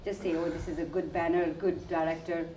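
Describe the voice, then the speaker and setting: A woman's voice: lowish voice, little pitch movement, a little fast, mellow, slight background noise. A woman interviewing a guest with probing questions, often hesitating and restarting her sentences with fillers. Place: indoor room in a residential building. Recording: echoey room, archival video.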